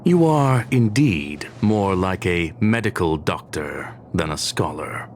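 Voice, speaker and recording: An actor playing a courteous, coolly logical genius ruler in a video game, with formal, analytical phrasing. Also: male voice, low voice, lively intonation, slow pace, slight background noise. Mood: knowing. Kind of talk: scripted video game cutscene dialogue.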